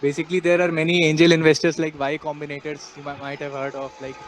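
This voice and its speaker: A man's voice: little pitch movement, fast. A young man with an Indian accent talking conversationally in a casual group discussion. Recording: noisy room, traffic rumble.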